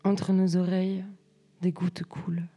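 Even, mellow young woman reading poetry. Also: fairly low pitch, slightly rough. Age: young adult. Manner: measured, short separated phrases. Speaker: student writer reading collective texts. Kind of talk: poetry reading, spoken word.

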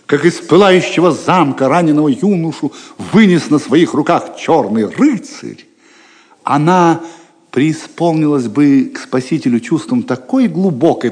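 Theatrical male storyteller, very animated. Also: medium pitch. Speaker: narrator. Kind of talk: dramatic scripted narration of an audio drama. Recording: long reverb on the voice.

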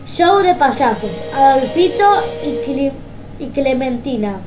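A woman with an Argentine accent hosting informal community radio, reading announcements haltingly and correcting herself. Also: sits high, lively intonation, music in the background, rough recording.

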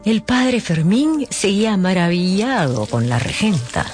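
A radio novel narrator with animated, widely varied intonation, telling the story dramatically. Music plays clearly behind the voice. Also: mid-range voice, unhurried.

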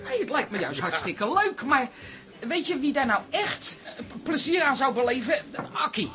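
A woman's voice: sits high, big pitch swings, moderate pace, background noise. An actress playing a chatty, theatrical woman who gushes and dithers in a radio drama. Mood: wheedling. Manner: gushing, dithering, wheedling.

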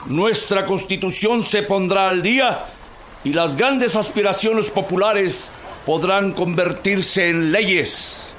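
An actor playing an earnest Mexican revolutionary orator in a radio drama, addressing citizens in a solemn, declamatory way. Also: male voice, high voice, fairly bright tone, a little hiss or hum.

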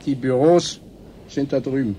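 Brief: dry, terse, irritable male worker, played by an actor, mellow mid-range voice, radio drama with faint ambient noise. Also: mid-range voice, a little slow, close to an everyday voice. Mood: curt. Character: curt, touchy male station worker, weary of chatter. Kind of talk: German radio drama acting.

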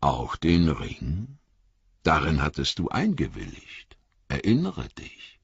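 A man voicing a stern, composed old wizard in a storybook reading, with a calm, insistent manner. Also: very deep voice, slow pace, creaky, husky, no room sound, muffled recording, heavily acted. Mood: calm, probing.

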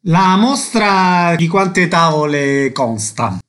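High-pitched male radio interviewer. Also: lively intonation, takes it slowly, fairly bright tone. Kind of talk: scripted announcements and interview questions.

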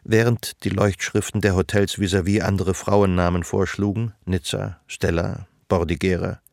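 A man acting as the measured, reflective narrator of a literary radio drama about love. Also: sits low, level tone, creaky, a little mellow, no room sound, studio quality. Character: omniscient male narrator of a literary love story, observant. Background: music.

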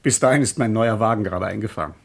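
Radio drama: confident publisher and organiser, slightly rough husky voice, businesslike and urging. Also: male voice, lowish voice, racing. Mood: eager, persuasive. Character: brisk, self-assured male publisher, persuasive organiser. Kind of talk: radio play acting.

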